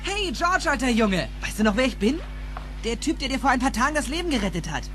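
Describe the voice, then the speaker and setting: A man's voice: extremely high pitch, sing-song, quick pace, a bit bright, full character voice. An actor playing an earnest, impulsive teenage boy with a slangy, incredulous manner, in a dubbed film. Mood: eager. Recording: music behind the voice.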